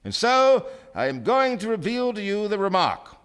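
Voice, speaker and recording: A man narrates a scripted story as dramatic radio theatre. His voice is fairly high, with lively, wide movement in pitch. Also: moderate pace, smooth. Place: theatre stage, live performance.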